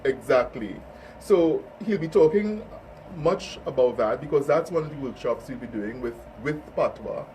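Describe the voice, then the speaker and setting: A man's voice: medium pitch, lively intonation, moderate pace, warm tone. A man, hosting an informal interview and speaking as an organiser. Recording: distant mic, faint background noise.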